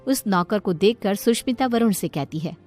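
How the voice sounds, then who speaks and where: A woman's voice: medium pitch, lively intonation, moderate pace, darkish tone, faint music behind. A woman delivering scripted narration of a cartoon story as its narrator.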